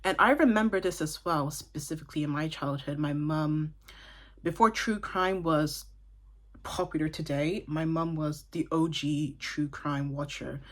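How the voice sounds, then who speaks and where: Woman in her twenties, from Dublin, low pitch, casual book chat, thoughtful asides. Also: unhurried. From Ireland (Dublin). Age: 20s. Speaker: book vlogger. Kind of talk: casual book review talk.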